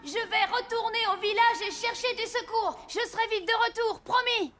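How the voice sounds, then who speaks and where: An actress playing a brave, earnest young orphan boy, an apprentice Santa in a French animated cartoon. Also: very high voice, normal speed. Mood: resolute. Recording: loud music behind the voice.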